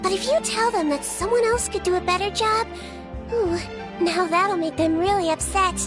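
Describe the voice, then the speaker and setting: High girlish, gentle little creature nurse. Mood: calm, tactful. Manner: measured, matter-of-fact, polite. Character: small gentle female nonhuman nurse, observant and tactful. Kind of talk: video game character dialogue. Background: background music.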